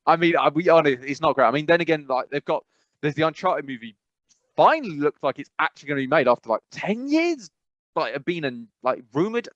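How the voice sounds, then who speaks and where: A man's voice: fairly high pitch, big pitch swings, a little fast, fairly bright tone, no room sound, highs cut off. A man co-hosting a podcast in casual chat, rambling and often correcting himself mid-sentence. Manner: rambling, self-correcting, frequent "I must be honest". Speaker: podcast co-host.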